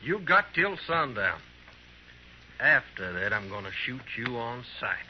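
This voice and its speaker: A man's voice: mid-range voice, big pitch swings, unhurried. An actor playing a menacing, vengeful gunman in a 1950s radio western. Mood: cool menace. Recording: noisy, dull old radio recording.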